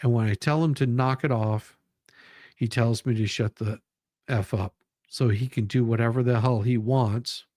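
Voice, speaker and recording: A man reading documents aloud and commenting on them in a halting, deliberate way. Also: mid-range voice, fairly even intonation, darkish tone, no room sound, studio quality. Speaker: commentary show host.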